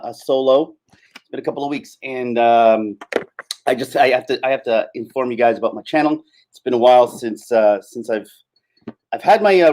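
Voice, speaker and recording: A man hosting a solo livestream in casual chat, rambling and halting as he thinks aloud. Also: medium pitch, darkish tone. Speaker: livestream host.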